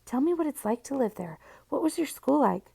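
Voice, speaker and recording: A woman's voice: high voice, expressive, brisk, creaky, mellow, voice pushed up. A woman voicing a timid, anxious, easily impressed preteen girl with a questioning manner in a children's storybook. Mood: awed, curious. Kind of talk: children's storybook character reading.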